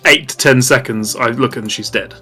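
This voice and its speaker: A man's voice: medium pitch, moderate pace, music in the background. A man playing a tabletop roleplaying game, talking casually in a questioning, stop-start way.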